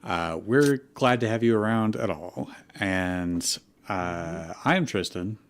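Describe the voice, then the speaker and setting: A man with a fairly low voice speaks slowly as the host of an informal livestream. His delivery is casual and a little hesitant, moving between chatting and reading aloud. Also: animated, slight background noise.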